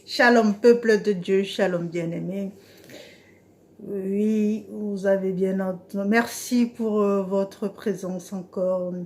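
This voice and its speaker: A woman's voice: medium pitch, steady tone, a little mellow. A woman preacher giving an informal devotional talk on Bible verses, speaking hesitantly with many fillers. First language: an African language she names as her mother tongue. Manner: slow, hesitant speech with frequent "euh" fillers.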